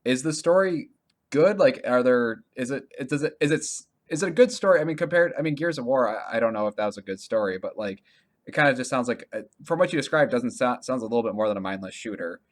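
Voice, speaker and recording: Male co-host, mid-range voice with very lively pitch swings, curious, informal podcast banter. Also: fast. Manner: inquisitive, informal, reactive. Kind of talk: casual video game chat.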